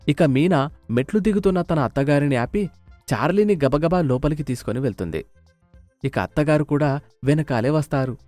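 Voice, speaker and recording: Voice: mid-range voice, animated, fairly quick, a little mellow. Story narrator over light music.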